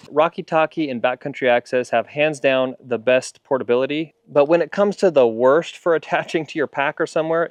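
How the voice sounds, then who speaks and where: An American man, roughly in his thirties or forties, reviewing gear in a plain-spoken, conversational way as a video host. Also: medium pitch, no room sound. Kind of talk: casual product review to camera. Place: backyard, outdoors.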